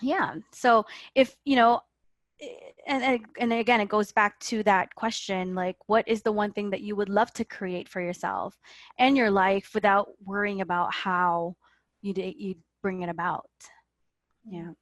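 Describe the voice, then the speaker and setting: A woman's voice: medium pitch, fairly even intonation, a little slow, no echo. A woman coach speaking earnestly in a dry, close recording. Her voice sits in a middle register, and she keeps asking "right?" as she explains. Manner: earnest, often tags sentences with "right?". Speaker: guest life coach. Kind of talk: motivational interview talk.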